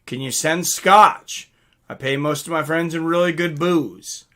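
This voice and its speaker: Guy hosting a live stream, kinda high really bright voice, just chatting and reading comments. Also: measured pace. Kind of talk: casual live reaction chat, reading out viewer comments.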